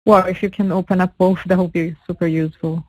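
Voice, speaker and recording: A woman's voice: on the low side, flat delivery, quick pace, no room sound, lo-fi sound. Woman analyst with a dry, close sound. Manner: searching, rambling question with fillers. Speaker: financial analyst. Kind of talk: earnings call question.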